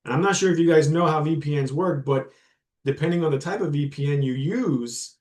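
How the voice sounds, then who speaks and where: A man's voice: on the high side, a little fast, darkish tone. A man giving an informal guest lecture to university students. He talks conversationally, with frequent fillers and repeated words, and stops to explain terms plainly.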